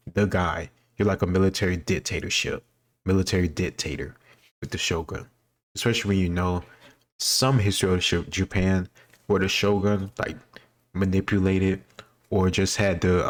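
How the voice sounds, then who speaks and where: An American man chatting casually as he gives rambling, opinionated reaction commentary and often corrects himself. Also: deep voice. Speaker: host reacting to a history quiz video.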